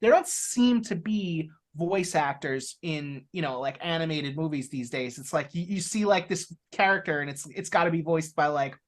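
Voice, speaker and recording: A woman co-hosting a podcast speaks in a slightly low voice. She stumbles and restarts as she asks her questions. Her talk is loose, casual and easygoing. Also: lively intonation. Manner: rambling, halting, laughs often. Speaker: podcast co-host.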